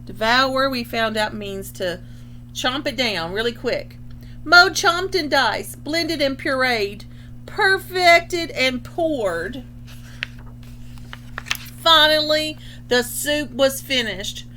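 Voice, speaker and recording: Bright, high-voiced woman reading a picture book. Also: slow pace, slight background noise. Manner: expressive, explains words simply. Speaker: storybook reader. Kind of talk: children's picture book read-aloud with explanatory asides.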